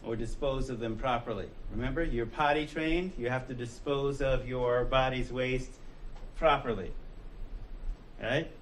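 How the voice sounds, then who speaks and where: An American man teaching a college class, his voice sitting at a middle pitch. He speaks in a loose, conversational way, tossing out rhetorical questions and slipping into the inner voices of the people in his examples. A faint hiss sits under the classroom recording. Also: unhurried, low-quality recording. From United States. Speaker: college instructor. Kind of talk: classroom lecture.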